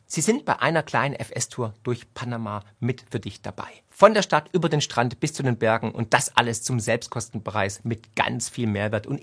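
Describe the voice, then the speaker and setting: A man's voice: medium pitch, fairly bright tone. A man hosting a video channel, delivering a scripted introduction straight to the viewer.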